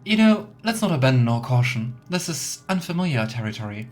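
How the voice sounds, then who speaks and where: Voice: medium pitch, very animated, a little fast, darkish tone, soft background music. A let's player giving casual, musing commentary on a game and reading dialogue options aloud. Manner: musing, chatty asides.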